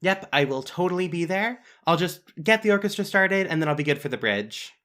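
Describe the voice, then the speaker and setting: A man's voice: high-pitched, animated, brisk, fairly bright tone. A man playing an overcommitted superhero playwright in an audio drama, passive-aggressive and breezily sarcastic. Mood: earnest, awkward. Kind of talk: naturalistic audio drama acting.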